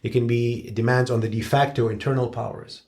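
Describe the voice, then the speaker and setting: A man from Syria giving long, measured, analytical answers as a guest expert, with frank asides. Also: mid-range voice, fairly even intonation, a little mellow.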